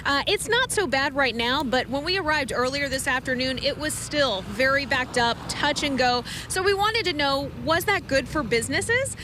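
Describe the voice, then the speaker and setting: High-voiced American woman reporting amid traffic noise. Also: animated, normal speed, few pauses. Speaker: TV news reporter. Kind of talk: live field reporting. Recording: outdoor live shot, clear traffic noise. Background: street and vehicle noise.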